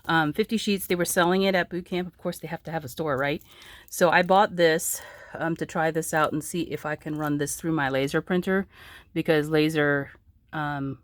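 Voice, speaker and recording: A woman vlogger talks through her crafts in a loose, rambling way, full of ums and restarts. A sore throat leaves her hoarse and pitched quite low. The sound is dry and close. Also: steady tone, normal speed, no echo. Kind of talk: casual chat showing crafts.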